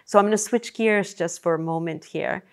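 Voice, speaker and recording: Woman host with a slightly low voice. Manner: measured, rephrasing questions as she goes. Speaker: podcast host. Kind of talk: interviewing.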